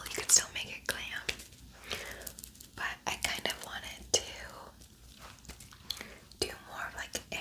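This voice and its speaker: A woman whispering in a breathy, unvoiced voice, chatting casually and a little ramblingly through an ASMR makeup tutorial. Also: poor audio. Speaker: ASMR beauty vlogger.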